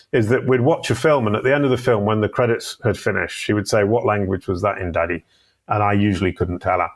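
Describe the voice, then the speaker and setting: Low-voiced guy chatting as a guest, pokes fun at himself, lots of restarts. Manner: self-deprecating, rambling with restarts. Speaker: guest on a live video show. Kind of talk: casual interview chat.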